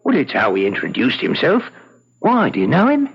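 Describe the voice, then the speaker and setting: An actor playing a simple, chatty village tavern companion in an old radio drama, with a rustic, excitable, colloquial way of talking. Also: male voice, mid-range voice, moderate pace, heavily acted. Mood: puzzled, concerned. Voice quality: creaky, husky. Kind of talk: old-fashioned radio drama character acting. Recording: dull lo-fi radio drama recording.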